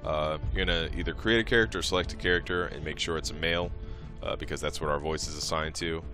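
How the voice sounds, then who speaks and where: A man's voice: a bass voice, steady tone, quick pace, over music. A man presenting a modding tutorial as a casual screen-recorded walkthrough, explaining each step in an informal, offhand way.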